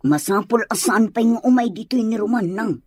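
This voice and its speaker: A woman's voice: fairly high pitch, moderate pace, a little mellow, slightly rough, dry recording, clean studio sound. A woman playing an anxious, protective elder sister, pleading with concern in a Filipino radio drama. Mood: worried.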